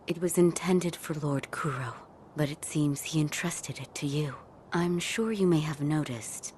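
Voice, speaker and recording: An actress playing a calm, devoted doctor of feudal Japan in a video game, reserved and courteous. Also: female voice, low voice, a little hiss or hum. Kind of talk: video game cutscene acting.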